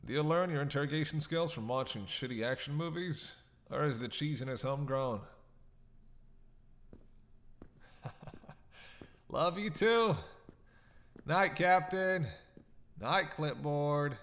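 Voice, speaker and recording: A man's voice: fairly high pitch, a little slow, a bit bright. A man playing a captive vampire in a dramatic roleplay, witty, flirtatious and menacing, with a sarcastic, teasing drawl. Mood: mocking. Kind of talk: dramatic roleplay monologue to the listener.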